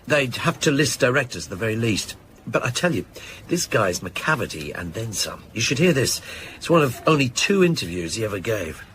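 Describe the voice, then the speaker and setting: Lively, casual male junior detective. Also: mid-range voice, normal speed, slight background noise. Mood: matter-of-fact. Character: frank, keen male junior police detective briefing boss. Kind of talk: naturalistic radio drama dialogue.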